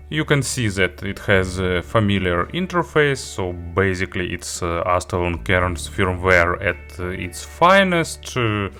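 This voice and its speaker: Guy with a low voice doing a tech review, kinda halting, going feature by feature, faint music behind. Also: a bit bright. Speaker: tech reviewer demonstrating an audio player. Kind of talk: semi-scripted product review walkthrough.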